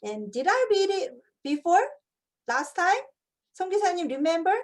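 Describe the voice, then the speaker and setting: Female, native Korean with Korean-accented English. High-pitched voice, expressive read-aloud teacher who prompts with questions. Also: fast. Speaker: English teacher, children's book reader. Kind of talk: picture book read-aloud demonstration with tips.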